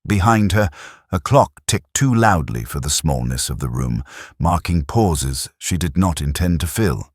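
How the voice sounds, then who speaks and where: Very deep-voiced man narrating a detective mystery. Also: lively intonation, breathy edge, no room sound, studio quality. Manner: measured, deliberate literary reading. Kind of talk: scripted first-person literary narration.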